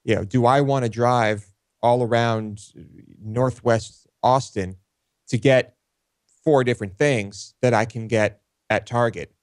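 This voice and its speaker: A man's voice: medium pitch, measured pace, no echo, studio quality. A man co-hosting a talk show, chatting casually and hesitantly. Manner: hesitant, many fillers and restarts. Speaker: co-host. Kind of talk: casual chat.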